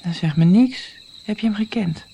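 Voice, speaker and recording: A woman acts out a young granddaughter in a radio play, caring but puzzled, asking plain, direct questions. Her voice is medium in pitch and mellow, with a faint bed of crickets behind it. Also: lively intonation, moderate pace, natural voice. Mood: curious, impatient. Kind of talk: radio drama acting. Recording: faint noise, night crickets. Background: chirping crickets.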